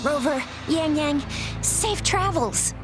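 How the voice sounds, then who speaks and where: A woman's voice: high voice, lively intonation, takes it slowly, over music. An actress playing an earnest, spirited young woman who patrols a city in a video game, talking eagerly and informally. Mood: earnest farewell. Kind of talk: video game cutscene acting.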